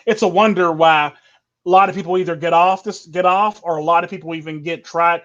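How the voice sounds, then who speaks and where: A high-pitched man hosting a casual livestream chat. He is opinionated and talks in long, rambling runs, laughing easily. The audio is dull and lo-fi, like a remote call. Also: fairly even intonation, brisk, a bit bright.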